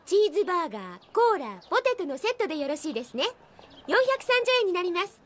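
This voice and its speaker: A woman voicing a polite, prim young fast-food clerk in a cartoon, with a sing-song service manner. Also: very high voice, big pitch swings, a little hiss or hum. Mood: cheerful. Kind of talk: animated cartoon acting.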